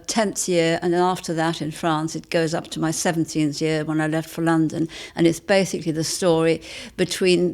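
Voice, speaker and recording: An older woman, an author, talking reflectively as a guest in an interview. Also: fairly low pitch.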